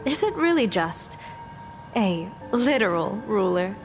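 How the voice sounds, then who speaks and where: A woman playing a composed female general and diviner in a video game, polite and measured with dry sarcasm. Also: mid-range voice, sing-song, music in the background. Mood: amused curiosity.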